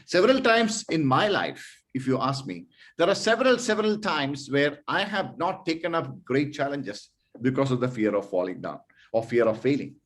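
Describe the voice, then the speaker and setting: A man's voice: mid-range voice, big pitch swings, moderate pace. A man chairing an online speaking club meeting, hesitant with fillers, then giving an earnest motivational talk full of rhetorical questions.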